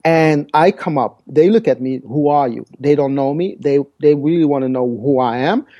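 A man's voice: fairly high pitch, normal speed, dark tone, muffled recording. A man whose first language is Dutch, speaking English in a podcast interview as the guest, often repeating words as he searches for phrasing.

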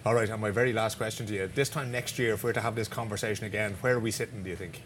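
A man's voice: on the low side, steady tone, rapid-fire, fairly bright tone. An Irish man hosting a casual, chatty interview show on location. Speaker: podcast host and interviewer. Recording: noisy outdoor location with traffic.

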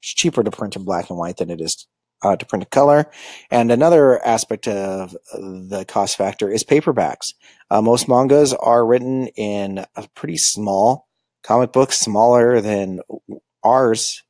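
Halting low-voiced man giving a lesson. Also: warm tone, no echo. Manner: halting, many ums and uhs. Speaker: school librarian teaching manga basics. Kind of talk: informal lecture.

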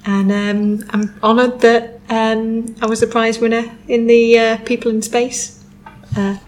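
A woman's voice: a little high, flat delivery, a little slow, a little mellow. Hesitant woman. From Manchester, England. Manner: hesitant, many ums. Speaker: prize-winning photographer guest. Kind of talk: casual interview answers.

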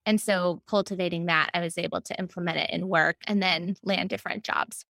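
A woman's voice: lowish voice, fairly even intonation, fairly quick, gravelly, sharp tone, no room sound. A woman speaking as an interview guest, explaining her experience in a reflective, conversational way. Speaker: podcast guest, book editor.

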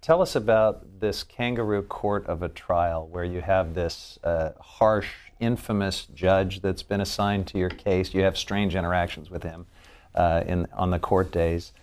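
A man hosting an interview as a congressman, asking measured, leading questions. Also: sits low, fairly even intonation, darkish tone. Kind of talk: interview host, reading aloud from a book.

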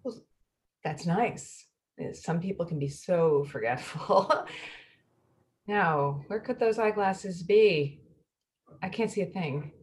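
A woman's voice: lowish voice, takes it slowly, pauses often. A woman playing a flustered, evasive wife in a play reading, with a hesitant, stammering delivery.

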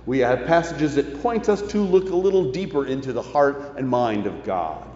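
A man preaching a sermon as a pastor, measured and leaning with emphasis on key phrases. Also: mid-range voice, expressive, darkish tone. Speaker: pastor preaching to his congregation. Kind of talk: sermon, reading and explaining scripture. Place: large reverberant indoor worship space. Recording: echoey church hall, muffled, faint noise.

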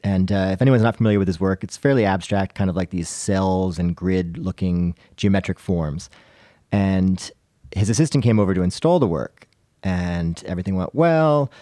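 A man's voice: deep voice, moderate pace, dark tone, no room sound, studio quality. A man chatting casually as a podcast panelist, telling anecdotes in a conversational, self-deprecating way.

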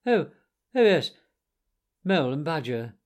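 A man voicing an excitable, theatrical male toad who swings between contrition and glee. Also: on the high side, normal speed, pitched above the performer's own voice. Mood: flippant. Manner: flighty and theatrical.

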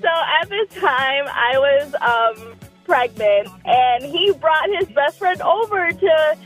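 High-voiced young woman calling a radio show. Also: animated, slow, a bit bright, faint music behind, rough recording. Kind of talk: casual personal storytelling.

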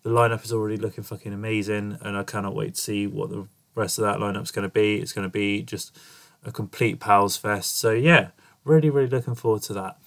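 A man's voice: fairly low pitch, steady tone, a little fast, fairly bright tone. A young man with a nasal, bunged-up voice from a cold, chatting casually and swearing freely as he opens his podcast. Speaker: podcast host. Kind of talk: casual podcast intro monologue.

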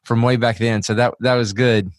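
A man from West Tennessee hosting a conversational interview show, talking haltingly with many fillers and restarts. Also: medium pitch, fairly even intonation, no echo, studio quality. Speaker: podcast host.

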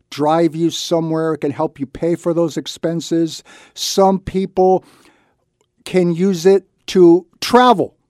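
A man's voice: a little high, darkish tone, no echo, studio quality. A man hosting a radio talk segment as a loan officer, explaining things conversationally in short, repeated, emphatic phrases. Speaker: radio show host and reverse mortgage loan officer.

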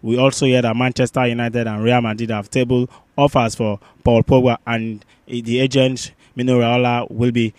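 A man co-hosting a sports podcast with casual news commentary, halting and often restating his phrases. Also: mid-range voice, flat delivery, darkish tone, no echo.

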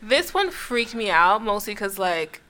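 A woman with a bright, forward voice talks through a casual chat with a friend. She is blunt and emphatic, lands her points hard and swears without thinking about it. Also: medium pitch, very animated, a little slow.

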